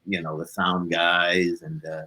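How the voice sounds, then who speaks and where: A man's voice: deep voice, flat delivery, a little slow, bright, forceful tone, breathy edge. A man speaking as a musician guest in a casual interview, telling stories in a rambling, easygoing way. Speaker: musician guest on a talk show.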